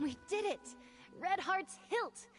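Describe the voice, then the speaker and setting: Young woman knight-in-training, lively intonation, eager, confident, video game dialogue, music behind. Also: very high-pitched, takes it slowly, full character voice. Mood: excited. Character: young female knight aspirant, eager, good-humored, confident. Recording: background music.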